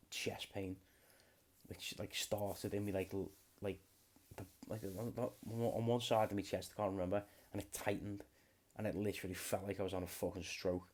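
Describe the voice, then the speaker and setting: A man's voice: sits low, darkish tone. A man in his late twenties, hosting a podcast alone in a rambling, swear-heavy casual chat. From United Kingdom. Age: late 20s. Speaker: podcast host.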